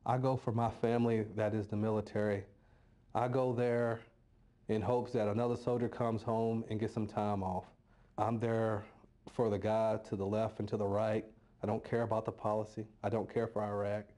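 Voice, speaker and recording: A man's voice: on the low side, flat delivery, moderate pace, warm tone. A soldier, a man, speaking in plain, blunt statements in an interview. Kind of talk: candid documentary interview.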